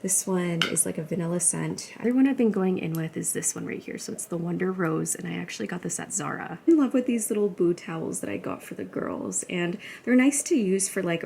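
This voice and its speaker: A woman in her thirties, talking to the camera at home in a chatty, upbeat way that is full of fillers. Her voice sits low and has a lot of gravelly fry, and she sounds a little raspy, as if she is only half awake. A faint hiss runs under the recording. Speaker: lifestyle vlogger. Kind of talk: casual chatty vlog.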